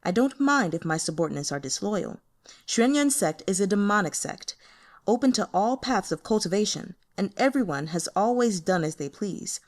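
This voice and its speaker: Calm tyrant of a demonic sect, read by a woman: unhurried, haughty, formal delivery for storybook fantasy. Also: mid-range voice. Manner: languid, unhurried, condescending, formal. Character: calm, imperious male demon sect lord. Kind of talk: audiobook character voice.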